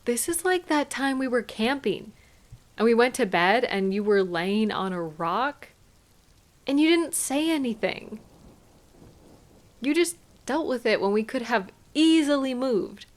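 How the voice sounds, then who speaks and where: A woman playing a caring, gently chiding partner in an intimate audio roleplay, speaking soothingly to a sweetheart. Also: a little high, expressive. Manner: tender, soothing, gently questioning and unhurried. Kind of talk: intimate scripted audio roleplay addressed to the listener.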